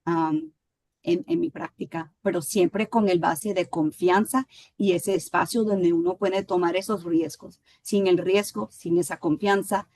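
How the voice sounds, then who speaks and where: A woman from Puerto Rico speaking on a panel, hesitant and halting, with frequent restarts. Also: on the low side, a little mellow. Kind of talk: panel conversation.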